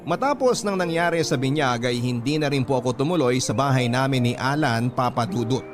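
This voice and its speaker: Male radio storyteller: middle register, dramatic phrasing, scripted letter narration over music. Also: medium pitch. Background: background music.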